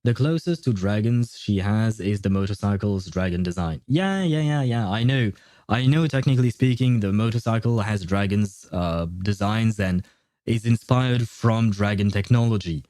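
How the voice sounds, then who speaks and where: Man, mellow relaxed voice, medium pitch, casual gaming stream chat, rambling, opinionated, dry recording. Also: moderate pace, no echo. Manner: rambling, opinionated, often chuckling. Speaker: livestreamer, host.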